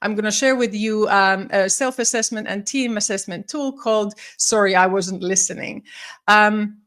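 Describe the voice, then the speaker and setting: A woman's voice: mid-range voice, steady tone, normal speed, a bit bright. A woman from Finland in her forties, a psychologist and leadership coach, giving a live-streamed talk with many ums and restarts. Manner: friendly, many ums, restarts, slightly formal phrasing.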